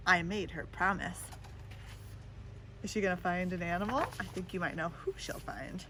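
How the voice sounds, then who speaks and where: A woman reading a children's picture book aloud in an animated way, pausing to put questions and little comments to young listeners. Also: on the low side, background noise. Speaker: storybook reader.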